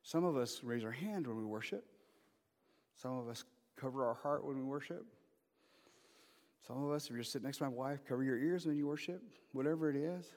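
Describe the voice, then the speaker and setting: A man's voice: mid-range voice, a little fast, long pauses, a little mellow. A man in his fifties preaching an informal sermon as pastor, mixing joking asides with emphatic calls to the congregation. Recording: preached in a reverberant hall.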